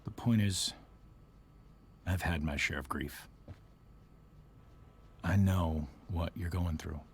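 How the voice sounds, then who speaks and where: An actor with an American accent playing a middle-aged, grieving army veteran stepfather in a video game, earnest, halting and solemn. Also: low-pitched, slight background noise. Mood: earnest consoling. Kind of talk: dramatic game cutscene acting.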